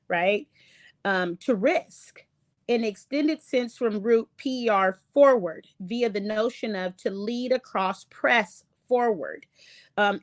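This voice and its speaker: A woman hosting an online lesson, reading from slides in a halting way with many ums and restarts; English is not her first language. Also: a little high, dry recording, clean studio sound. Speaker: online show host. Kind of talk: casual lecture reading from slides.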